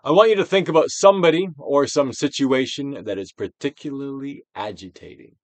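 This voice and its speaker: A man giving a dramatic, deliberate reading of a self-help book as a guided exercise, with pauses and big swells. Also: medium pitch, no room sound. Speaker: audiobook reader and actor.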